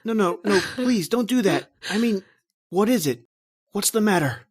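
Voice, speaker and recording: A woman playing a panicked, bewildered husband lost in a nightmare, with halting, theatrical delivery in an old-style horror radio drama. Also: mid-range voice, animated, breathy edge. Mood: uneasy, insistent. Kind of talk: dramatic first-person horror radio narration and acting.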